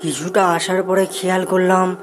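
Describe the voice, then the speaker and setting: A woman's voice: fairly low pitch, fairly even intonation, fairly quick, over music. A woman giving dramatic, scripted narration for a cartoon fairy tale. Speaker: storyteller.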